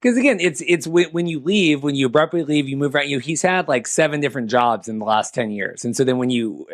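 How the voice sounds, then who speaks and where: Male sports podcast host, mid-pitched, conversational and rambling, close dry recording. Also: quick pace, no room sound. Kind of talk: casual sports talk with banter.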